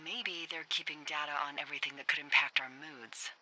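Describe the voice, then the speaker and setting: An American actress playing a blunt, suspicious fire lookout in a video game, with an emphatic, natural conversational delivery. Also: female voice, low-pitched, fairly even intonation, brisk, sharp tone, heavily acted. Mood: wry, suspicious. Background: faint background music.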